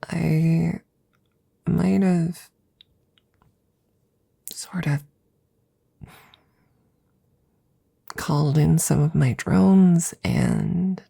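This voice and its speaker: A woman playing an anxious, devoted scientist in an ASMR audio roleplay, speaking in a breathy, gravelly, intimate near-whisper with halting stammers. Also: fairly low pitch, dark tone, heavily acted. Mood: hesitant, worried. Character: anxious, devoted female scientist tending her best friend.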